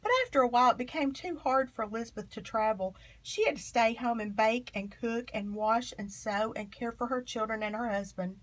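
A woman with a fairly high voice reads a children's picture book aloud. Her phrasing is expressive and emphatic, shaped for young listeners. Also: brisk, hardly any pauses, low-quality recording. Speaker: storybook reader.

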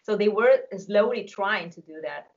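Italian lady in her 40s, accented english, on a muffly video call, talks slow and thoughtful. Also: medium pitch, lively intonation. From Italy. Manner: thoughtful, explains carefully with some hesitation. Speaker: singing teacher. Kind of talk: casual video call discussion. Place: home music room with drums. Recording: dull lo-fi call audio.

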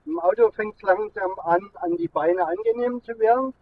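A middle-aged man with a high-pitched voice. He rambles casually as he vlogs while driving. The sound is thin and phone-like, with clear car noise behind him. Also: expressive, normal speed. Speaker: vlogger. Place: inside a moving car with air conditioning running. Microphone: camera mounted in the car.

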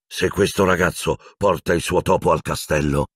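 A man voicing a desperate, haggard male escaped convict in a storybook reading, hoarse and urgently hissing. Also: deep voice, steady tone, normal speed, no echo, clean studio sound. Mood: defiant.

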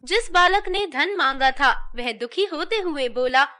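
A woman's voice: sits high, animated, a little slow, bright, forceful tone. A woman narrating a scripted children's story as a storyteller.

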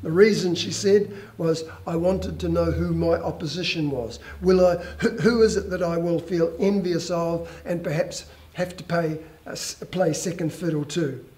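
Older male pastor, measured earnest preaching, somewhat high voice, headset mic, church stage. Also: dark tone. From New Zealand. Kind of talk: sermon.

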